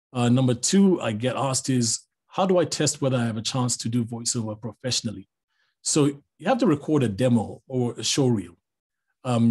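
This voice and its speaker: Husky middle-aged man teaching a class. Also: mid-range voice. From Zambia. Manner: relaxed, chatty, jokes aside to the audience. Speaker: voice-over artist teaching a master class. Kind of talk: online master class talk. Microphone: video call.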